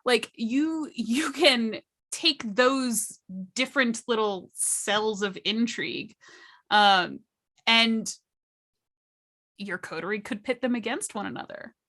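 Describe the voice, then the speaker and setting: Bright forward voice, woman a bit high, casual game talk, stretches out key words for emphasis. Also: slow pace. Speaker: panelist at a tabletop roleplaying storytelling workshop. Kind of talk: casual, animated explanation of roleplaying storytelling.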